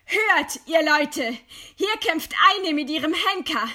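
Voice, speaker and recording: A woman's voice: high voice, animated, slow pace, bright, forceful tone, full character voice. An actress playing a proud, scornful woman intellectual defying her executioner in eloquent, theatrical radio play declamation. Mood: crying out.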